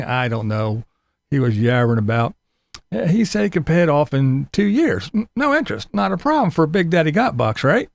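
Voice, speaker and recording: Sarcastic commentator, creaky and wildly animated. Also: mid-range voice, dry recording. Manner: sarcastic, mocking. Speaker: commentary video host. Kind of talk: mocking video commentary about another vlogger.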